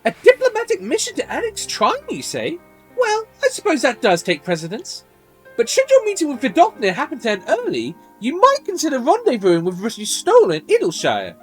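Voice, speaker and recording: A man voicing an excitable, chatty young woman from a fantasy game in a perky, gushing manner. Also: very high voice, brisk, faint music behind, pitched above the performer's own voice, heavily acted. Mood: perky, eager.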